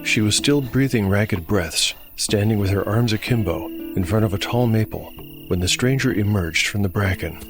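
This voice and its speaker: Deep-voiced male fantasy narrator over music. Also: moderate pace. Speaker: podcast host, game master and narrator. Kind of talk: scripted dramatic fantasy narration. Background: music bed.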